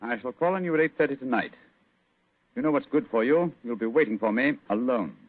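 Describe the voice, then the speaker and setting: An actor playing an assured English detective in a radio drama, clipped, articulate and authoritative. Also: male voice, medium pitch, lively intonation, brisk, lightly acted. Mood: courteous, measured. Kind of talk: old-time radio drama acting. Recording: tinny, noisy old radio recording.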